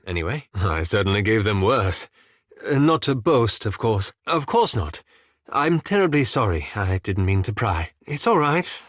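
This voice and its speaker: A man's voice: medium pitch, fairly bright tone, no echo. A man playing a cautious, bookish wizard companion in a fantasy video game, speaking formally and politely with dry, fussy reproach. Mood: earnest, apologetic. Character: refined, bookish, cautious male wizard companion, easily exasperated.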